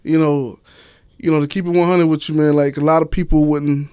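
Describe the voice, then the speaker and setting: A man's voice: fairly high pitch, little pitch movement, fairly quick, warm tone, clean tone, dry recording, studio quality. A man from Miami talking as a guest in a casual podcast chat, candid and slangy. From Miami, United States. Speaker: interview guest, author and stage play director.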